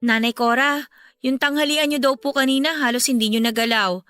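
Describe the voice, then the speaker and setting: Devoted teenage Filipina, high-pitched, bright, caring, radio drama, soft music behind. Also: female voice, steady tone, fairly quick. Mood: worried, coaxing. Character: caring, pleading teenage girl devoted to her nanny.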